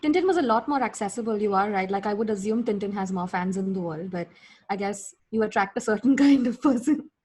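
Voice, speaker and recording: A woman podcast guest talking casually and emphatically about her opinions, with a husky voice. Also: medium pitch, lively intonation, a little fast, darkish tone. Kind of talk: casual opinionated pop-culture chat.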